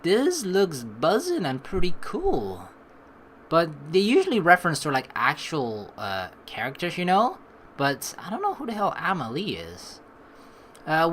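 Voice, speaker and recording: A woman's voice: fairly low pitch, slight background noise. A woman hosting a let's play, rambling informally and reading the game's text aloud in a casual way. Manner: rambling, animated, informal with mild swearing. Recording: faint game music behind the voice. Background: game music.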